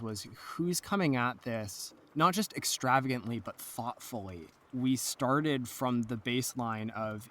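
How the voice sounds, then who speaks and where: A man's voice: mid-range voice, fairly bright tone. A man talking casually in an interview as an audio drama producer explaining the work.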